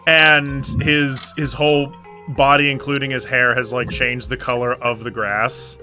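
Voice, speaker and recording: A man's voice: mid-range voice, flat delivery, unhurried, sharp tone, faint music behind. A man playing a tabletop game, casually improvising what the character does. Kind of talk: casual improvised roleplay narration.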